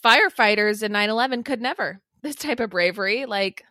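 A woman's voice: medium pitch, animated, a little fast, sharp tone, no echo, studio quality. A woman co-hosting a podcast in casual comedic chat, tongue-in-cheek and mock-earnest. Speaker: podcast co-host.

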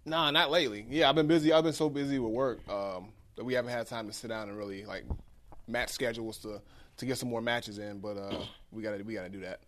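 A man's voice: fairly low pitch, fairly even intonation, quick pace, fairly bright tone, slight background noise. A man co-hosting a podcast, talking casually and informally about video games with slang and easy swearing. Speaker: podcast co-host. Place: podcast studio.

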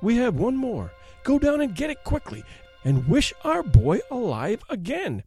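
Male narrator as desperate old mother in a gothic storybook: high-pitched, wildly swooping, pleading, feverish. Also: huge pitch swings, fairly quick, darkish tone, faint music behind, heavily acted. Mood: urgent pleading. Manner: wild, pleading, urgent exclamations. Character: grief-crazed old woman, desperate, feverishly insistent mother. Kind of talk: dramatic storybook reading.

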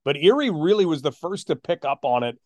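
Higher-voiced man hosting a sports podcast. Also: big pitch swings, fairly quick, darkish tone, no room sound. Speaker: podcast host and hockey radio play-by-play announcer.

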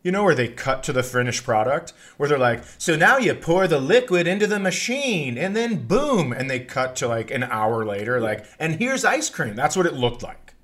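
A man's voice: a little high, big pitch swings, normal speed, hardly any pauses, a bit bright. A middle-aged man and podcast host telling casual comic stories in a rambling, profane way.

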